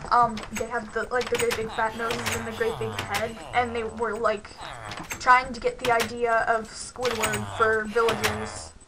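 A woman's voice: on the high side, noisy background. A young woman host chatting casually through a game, rambling with lots of "like", her voice worn from losing it, on a headset mic at her computer. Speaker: gaming channel host. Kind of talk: casual let's-play commentary.

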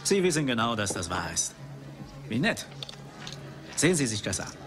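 An actor playing a suave, cynical rival archaeologist in a dubbed film, speaking in a polished, philosophical way. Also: male voice, mid-range voice, very animated, normal speed, close to an everyday voice. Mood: suave, condescending. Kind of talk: dubbed 1980s film dialogue. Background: film music.

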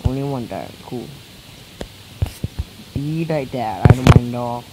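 A teenage boy talks his way through a mobile game in a casual, rambling way, with a mid-range voice. His voice sounds weak and a little worn, as if he is still getting over being sick. Loud hiss and noise sit under the recording. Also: mid-range voice, lively intonation, unhurried. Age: teens, fourteen. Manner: rambling, chatty, many restarts. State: weak voice from recent illness. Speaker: gameplay commentator. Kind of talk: casual let's-play commentary.

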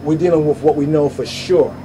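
A man giving an informal religious language lesson over slides, sounding out words slowly and repeating them, and checking in with "you understand?" after nearly every point. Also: a little high, background noise. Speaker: bible study teacher.

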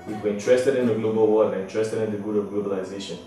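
A man's voice: on the low side, fairly even intonation, very fast, dark tone, soft background music. A young man from Nigeria giving an earnest prepared statement to camera indoors. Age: young adult. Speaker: former exchange student. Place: indoor room. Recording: echoey room, mic at a distance.

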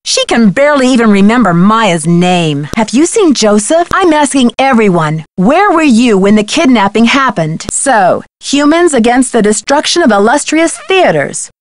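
A woman's voice: a little high, big pitch swings, moderate pace, few pauses. A woman playing a plucky, determined young amateur detective in a video game, earnest and inquisitive. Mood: curious, businesslike. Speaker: voice actress in a detective adventure game. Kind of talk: scripted video game dialogue.

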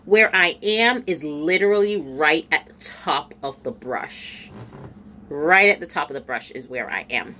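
She is a woman in her forties, talking to the camera as a beauty vlogger, and her voice rises and falls in a lively way. She sounds a little stuffy and nasal. Now and then she drops into quiet low asides, and faint room ambience sits behind her. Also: measured pace, a little hiss or hum. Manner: chatty, animated, drifting into low asides. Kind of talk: casual chat to camera. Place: small room at home, indoors. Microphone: camera in front at close range.

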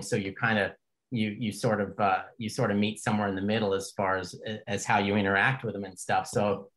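Older man, podcast host, rambling, folksy, joking, casual interview, middle-pitched voice. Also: a bit bright, low-quality recording.

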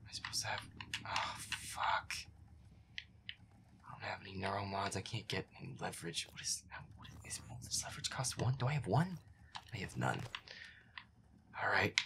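A man playing a feisty, grumpy werewolf boyfriend who mutters and swears his way through a scary video game, often in a breathy whisper. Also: deep voice, animated, moderate pace, long pauses. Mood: irritated, uneasy. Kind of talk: boyfriend audio roleplay as gameplay commentary.

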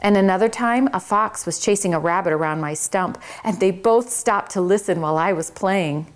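Woman doing a gentle little cricket guy, soft and wistful, storybook voice. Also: medium pitch, normal speed, lightly acted. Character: gentle homesick male cricket. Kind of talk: children's book read-aloud.

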